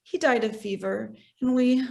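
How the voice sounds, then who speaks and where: An actress playing a self-possessed, wealthy society widow, poised and matter-of-fact in a stage comedy over a video call. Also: female voice, on the high side, fairly even intonation, moderate pace, creaky, darkish tone.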